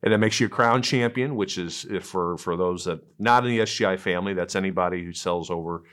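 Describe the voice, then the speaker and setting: A man's voice: low-pitched, fast talker, a bit bright. A male podcast host who reads a scripted introduction and then interviews a guest in an upbeat, encouraging way.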